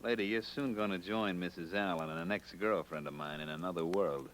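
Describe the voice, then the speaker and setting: Very deep-voiced, cold American gangster. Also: male voice, brisk, fairly bright tone. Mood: cold menace. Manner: tough, slangy, threatening. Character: cold, menacing American gangster, boss of a counterfeiting racket. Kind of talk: old-time radio crime drama acting. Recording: humming, noisy old radio recording.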